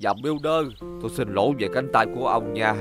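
A man voicing a kindly, excitable older shopkeeper in a dubbed children's cartoon, speaking expressively and sincerely. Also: on the low side, fairly quick, natural voice. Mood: grateful, apologetic. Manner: expressive, emphatic. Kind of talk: dubbed children's animation acting. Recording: music behind the voice.

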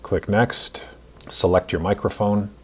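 A man in his forties narrating a screen-recorded software tutorial in an instructional, step-by-step way. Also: fairly low pitch, fairly even intonation, measured pace, breathy edge. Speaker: tutorial host.

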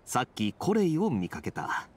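A man voicing a relaxed, playful young male knight in an anime game, speaking smoothly and casually. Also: medium pitch, moderate pace. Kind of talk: Japanese anime game voice acting.

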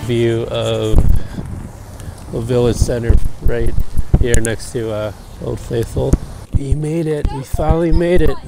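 A man's voice: mid-range voice, steady tone, measured pace, vocal fry, loud music behind the voice, rough recording. A man in his thirties, a travel vlogger chatting casually and thinking aloud as he walks outdoors, recorded on a wired earphone mic. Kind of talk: casual vlog commentary. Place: outdoors at a geothermal park.

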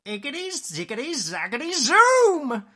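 An excitable, good-natured storybook witch, crying out in a very high voice. The delivery is shrieky and loud, with big swoops. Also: unhurried, exaggerated voice. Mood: gleeful commanding. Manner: exclaiming, crying out.

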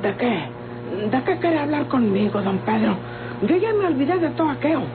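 Very high-voiced frightened Mexican woman. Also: lively intonation, moderate pace. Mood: wary. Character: frightened pleading young woman, defiant when cornered. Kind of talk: old Mexican radio drama acting. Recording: tinny vintage radio recording, music behind.

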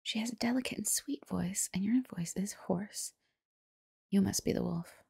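Wary little goat kids from a fairy tale, whispered by a woman, speaking firmly and plainly. The voice is breathy and crackly, with a very dry, close sound. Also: mid-range voice, normal speed, darkish tone, no echo, heavily acted. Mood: firm refusal.

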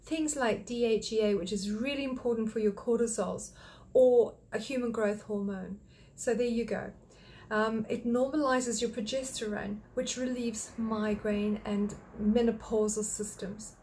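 An older woman from Australia who runs a thyroid clinic, talking straight to a webcam in a small home office and calmly listing health points one by one. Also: a little high, a little slow, slight background noise. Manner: friendly, explanatory, lists points one by one. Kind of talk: direct-to-camera health talk.

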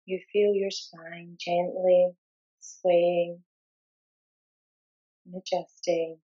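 A woman leading a guided meditation, giving gentle, soothing instructions in short, unhurried phrases with long pauses. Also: lowish voice, flat delivery, dull audio.

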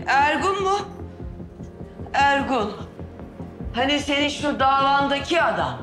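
A woman's voice: high-pitched, animated, slow pace. An actress playing a frantic, accusing middle-aged mother of a wounded son, wailing and confrontational in a TV drama. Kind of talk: TV drama acting. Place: hospital corridor. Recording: dramatic music behind the voice.

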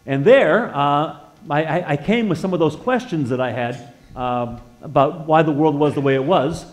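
Halting, conversational American preacher in his sixties. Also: fairly high pitch. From northeastern United States. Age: 60s. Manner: halting, repeats words as he searches for them; conversational, puts questions to listeners. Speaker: guest preacher. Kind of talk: informal sermon with personal testimony. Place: church sanctuary, indoors, at a lectern.

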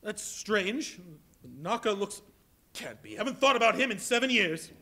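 A man's voice: high voice, expressive, normal speed, bright, forceful tone, exaggerated voice. An actor playing a miserly, suspicious, cantankerous old businessman in a theatrical live stage performance. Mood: wary, muttering. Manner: theatrical, exclamatory. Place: live on a college hall stage before an audience.

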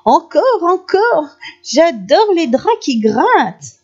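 A woman's voice: sits high, unhurried, dark tone, muffled recording, voice pushed up. A woman voicing a kindly, sly little old grandmother with a quavering voice in a children's story. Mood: sweetly amused.

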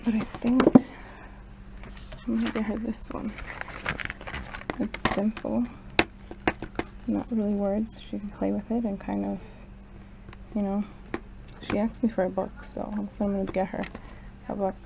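A woman's voice: mid-range voice, little pitch movement, a little slow, vocal fry, long pauses, heavy background noise. A young woman vlogging casually while shopping, thinking aloud and hedging as she goes, filmed on a handheld camera. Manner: chatty, thinking aloud, hedging with "I don't know". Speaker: travel vlogger. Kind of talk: casual vlog narration. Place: shops and mall, indoors. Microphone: handheld camera at arm's length.

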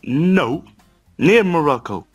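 A man's voice: fairly high pitch, lively intonation, slow pace, faint music behind. A young man on a video call, defensive and swearing as he argues. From Algeria. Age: young adult. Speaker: video chat stranger.

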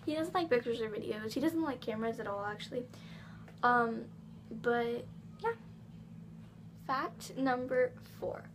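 A woman's voice: a little high, a little slow, pauses often, a little hiss or hum. A fourteen-year-old girl from England with a slight Southern US accent, chatting bubbly to camera at home with lots of ums. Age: teens. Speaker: vlogger. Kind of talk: casual chat to camera. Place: living room at home, indoors. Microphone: device camera on table.

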